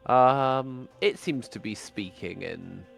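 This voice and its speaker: A man's voice: medium pitch, takes it slowly, vocal fry, soft background music. A man playing a tabletop game, bantering casually with swearing and dry self-deprecation.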